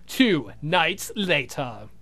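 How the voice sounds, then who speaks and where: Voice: medium pitch, big pitch swings, drawn-out words, gravelly, pushed and bright. A let's play host narrating a visual novel aloud in a dramatic storytelling style. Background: music.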